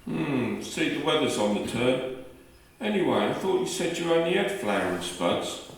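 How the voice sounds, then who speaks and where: A man playing a gruff, irritable working-class husband in a radio play, blunt and dismissive. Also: a little high, very animated, unhurried. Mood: grumbling. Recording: very echoey room, slightly distant mic.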